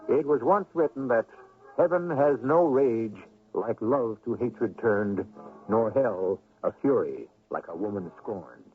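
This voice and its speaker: A man hosting and narrating a radio mystery in a theatrical, measured, solemn and ominous scripted style. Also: mid-range voice, expressive, soft background music. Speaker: host and narrator. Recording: narrow old radio broadcast, faint noise.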